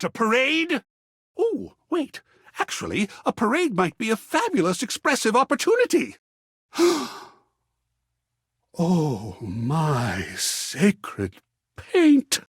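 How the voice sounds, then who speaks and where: A man playing a grandiose, excitable living embodiment of art in a video game, husky and florid, with melodramatic theatrical delivery. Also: fairly high pitch, dry recording, clean studio sound, full character voice. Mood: excited, theatrical. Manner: florid, melodramatic, swooping between hushed awe and exclamation.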